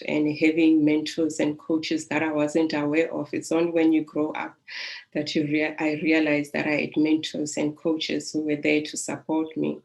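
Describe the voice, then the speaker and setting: A middle-aged woman with a South African accent speaks with a calm, soothing voice. Her voice sits low with a gravelly texture and stays flat and even in pitch. She talks in a reflective, unhurried way as a life coach telling her story. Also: low-quality recording. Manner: reflective, unhurried, with soft laughs. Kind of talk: personal storytelling.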